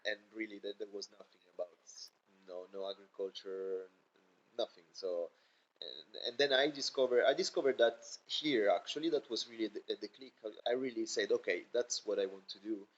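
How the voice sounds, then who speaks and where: Man, low voice, dull lo-fi podcast sound; a guest who searches for words, pauses often and restarts his sentences. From Italy. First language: Italian. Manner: halting, many fillers and restarts. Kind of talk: casual interview chat.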